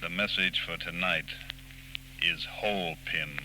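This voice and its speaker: A man playing a condescendingly patient crime boss in a 1940s radio drama, measured, formal and menacingly polite. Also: low voice, steady tone, bright, forceful tone, heavily acted. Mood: coolly sinister. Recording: noisy vintage 1940s radio recording.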